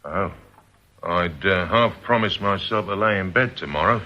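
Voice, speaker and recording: Actor as reluctant, low-voiced crook, dull recording. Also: male voice, normal speed, close to an everyday voice. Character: reluctant, sleepy, easygoing male gang member. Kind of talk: radio drama acting.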